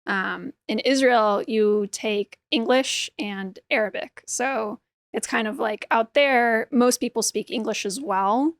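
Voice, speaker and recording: A woman's voice: a little high, slow pace, no room sound, clean studio sound. Chatty woman, native Hebrew speaker. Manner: chatty, frequent "like" and "um", easy laughter. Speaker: podcast guest, artist. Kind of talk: casual conversational interview.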